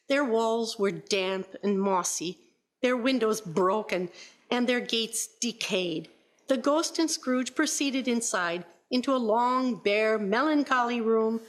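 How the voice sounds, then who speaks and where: A woman's voice: fairly high pitch, a bit bright. A woman reading scripted story narration for a radio play.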